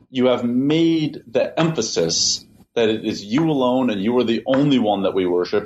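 A man answering interview questions at length, in a measured way, often repeating words as he thinks. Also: mid-range voice, darkish tone. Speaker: interview guest, translator. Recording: dull lo-fi sound, faint hiss.

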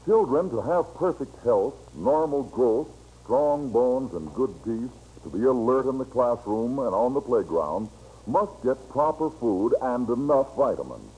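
An American man delivers an earnest scripted commercial in old-time radio announcer style. His voice is mellow, with lively rises and falls, over a faintly noisy vintage recording. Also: mid-range voice, a little slow.

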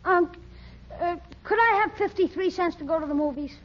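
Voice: very high voice, moderate pace. A performer playing an eager, wheedling young schoolboy in a 1940s radio comedy, sheepish and slangy. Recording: dull, noisy old radio recording.

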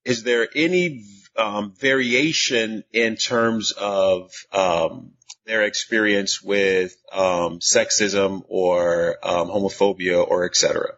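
Low-voiced hesitant male interviewer. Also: steady tone, takes it slowly, a bit bright. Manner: hesitant, with many fillers. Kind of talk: interview questions. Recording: dull lo-fi recording.

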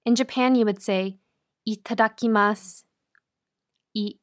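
A woman hosting a podcast, talking clearly and breaking phrases down slowly like a teacher. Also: medium pitch, fairly even intonation. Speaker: podcast host. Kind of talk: scripted podcast intro and interview.

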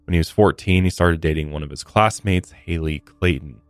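A man's voice: sits low, moderate pace, smooth, no echo. A man co-hosting a true crime podcast, recounting case details in a casual, conversational way with blunt asides.

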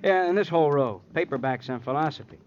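An actor playing a plain-spoken, unbookish police detective in old radio drama, clipped and matter-of-fact. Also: male voice, fairly high pitch, fast talker, natural voice. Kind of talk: 1950s radio crime drama acting. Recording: dull, slightly noisy old radio recording.

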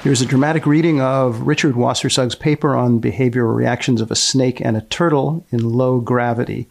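A man's voice: medium pitch, steady tone, brisk, creaky. A man hosting a podcast, giving scripted narration that introduces research studies. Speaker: podcast host.

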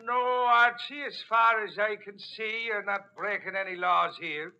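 A man's voice: high-pitched, steady tone, a little slow, bright, forceful tone, lo-fi sound, full character voice. An actor playing a blustery, sly Irish policeman with mock-official insistence in a 1940s radio comedy. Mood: slyly insistent. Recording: slightly noisy old radio broadcast.

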